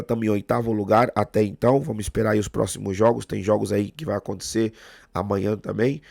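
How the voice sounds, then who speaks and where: Hoarse Brazilian man with a cold. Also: mid-range voice, darkish tone, dry recording. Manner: opinionated, talks straight to the viewer. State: hoarse with a cold, sore throat. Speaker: football fan channel host. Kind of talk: casual match analysis to camera.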